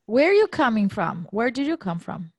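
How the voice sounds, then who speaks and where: A woman's voice: on the high side, big pitch swings, normal speed, darkish tone, no echo. A woman co-hosting a podcast interview, laughing often and encouraging her guest. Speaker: podcast co-host. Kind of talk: casual interview.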